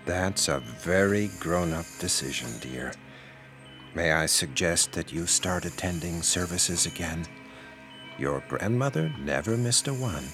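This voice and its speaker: Insinuating middle-aged pastor, American, deep voice, measured preachy delivery, audio drama, faint music. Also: male voice, expressive. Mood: coaxing. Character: paternal, insinuating small-town pastor with grown daughters. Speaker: voice actor.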